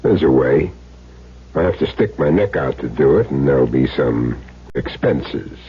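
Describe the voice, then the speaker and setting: Husky, deep-voiced hitman. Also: expressive, full character voice. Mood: grim, calculating. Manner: measured, blunt, streetwise. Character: tough, world-weary male hired hitman with a soft spot. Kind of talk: 1970s radio drama acting. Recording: muffled old radio recording.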